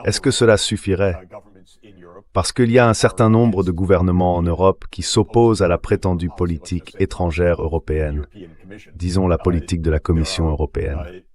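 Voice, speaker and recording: A man's voice: low voice, fairly even intonation, normal speed, darkish tone. A man speaking as an expert panelist in a translated voice-over, reasoning through an argument.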